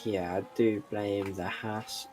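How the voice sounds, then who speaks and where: A man's voice: fairly low pitch, fairly even intonation, takes it slowly, a little mellow, slight background noise. A tired man with a sore throat doing live esports race commentary, dry and wry between calls. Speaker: sim racing commentator.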